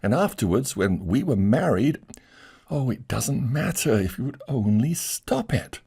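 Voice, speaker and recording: A man voicing an imploring gentle woman. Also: medium pitch, sing-song, measured pace, vocal fry, breathy edge, pitched above the performer's own voice, full character voice. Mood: urgent. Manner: earnest, imploring. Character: pleading gentle woman, urgent and loving.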